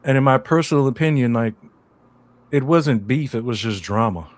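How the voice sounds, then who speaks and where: A man co-hosting a podcast, chatting casually about a TV show with slangy, laid-back banter and drawn-out storytelling. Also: medium pitch, expressive. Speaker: podcast co-host. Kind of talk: casual chat.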